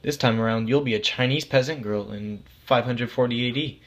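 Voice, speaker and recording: A man's voice: medium pitch, brisk, natural voice. A man voicing God in a story as a casual, reassuring authority figure who explains things patiently and matter-of-factly. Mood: calm, reassuring. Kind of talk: acted storybook dialogue.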